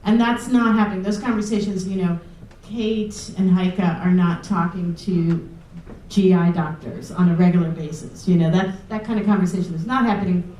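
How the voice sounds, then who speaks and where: A woman's voice: lowish voice, fairly even intonation, moderate pace, dark tone, slight background noise. A woman researcher on a panel, speaking thoughtfully and rambling through long sentences with restarts. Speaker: researcher in nutrition and food science. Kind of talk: panel discussion. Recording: roomy room audio from a distance.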